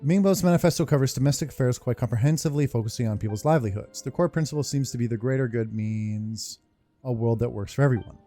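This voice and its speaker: Mellow, laid-back man doing game commentary. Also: mid-range voice, fast talker, soft background music. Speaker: gaming video host. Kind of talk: casual playthrough commentary, reading on-screen text.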